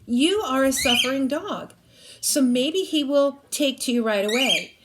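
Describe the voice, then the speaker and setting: A woman's voice: high voice, expressive, a little slow, noisy background, voice pushed up. A woman voicing an earnest young girl in a children's storybook, coaxing politely as she pleads. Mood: pleading. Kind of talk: children's storybook read-aloud.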